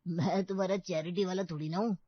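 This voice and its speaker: A woman's voice: fairly low pitch, steady tone, fairly quick, husky, no echo, highs cut off. Woman acting a demanding, sarcastic male landlord. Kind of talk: story cartoon voice acting.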